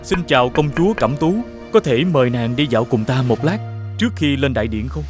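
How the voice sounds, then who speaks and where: A man's voice: mid-range voice, a little fast, faint music behind, natural voice. A man voicing a noble, earnest young prince in a fairy-tale cartoon. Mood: courteous, firm.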